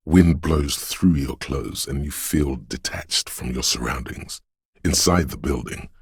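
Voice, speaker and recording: An actor playing the solemn, brooding spirit voice of a city, narrating a video game in a husky, poetic, ominous way. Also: male voice, no room sound, studio quality, exaggerated voice. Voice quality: very deep, gravelly, husky.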